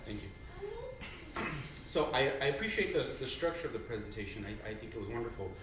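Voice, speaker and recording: A man in the audience asks the speaker a polite question, pausing and hunting for his words. He stands far from the microphone, and the hall swallows his voice in heavy echo. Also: medium pitch, sing-song, background noise. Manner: polite, halting, searching for words. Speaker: audience member asking a question. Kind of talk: question from the floor. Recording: echoey hall, far from mic.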